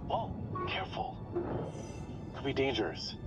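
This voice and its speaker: A cautious, keen young man diver speaks over a muffled mask intercom. His intonation is lively, his remarks are brief, and game music plays clearly underneath. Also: mid-range voice, a little slow. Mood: watchful. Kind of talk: video game acting.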